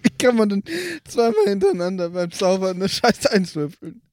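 A man's voice: high-pitched, lively intonation, moderate pace, heavy background noise. A man playing a tabletop game, bantering in a blunt, slangy, sweary way. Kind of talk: casual banter.

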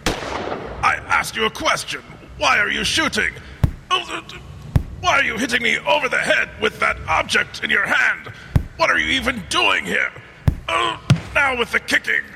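Overwrought high-pitched radio victim. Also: male voice, creaky, heavily acted. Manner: overwrought, indignant, melodramatic. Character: bewildered murder victim absurdly narrating his own demise. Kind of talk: comic old-time radio drama acting. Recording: long reverb, prominent music behind.

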